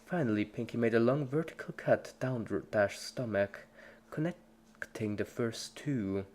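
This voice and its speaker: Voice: mid-range voice, a little slow, a little mellow. A narrator giving a scripted dramatic reading of a story in the third person. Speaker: fan fiction narrator.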